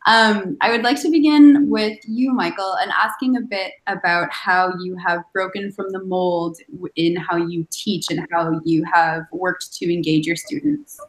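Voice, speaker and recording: A woman's voice: lowish voice, steady tone, measured pace, few pauses. A woman hosting an online webinar, introducing guests with friendly enthusiasm. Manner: warm, enthusiastic, lightly laughing. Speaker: webinar host. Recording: echoey room.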